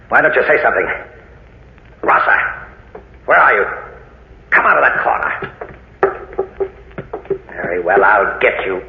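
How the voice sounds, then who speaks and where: A man's voice: low voice, gravelly, full character voice. An actor playing a menacing, blustering man spoiling for a knife fight in an old-time radio drama. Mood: menacing, taunting. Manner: blustering, contemptuous. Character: menacing, belligerent man eager for a knife duel. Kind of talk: 1940s radio drama acting. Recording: muffled, noisy old radio recording, roomy echo.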